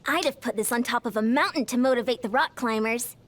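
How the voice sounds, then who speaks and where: A woman's voice: on the high side, fairly quick, lightly acted. An actress voicing a spirited, eager, hearty young female video game character, with noise behind her. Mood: eager, curious.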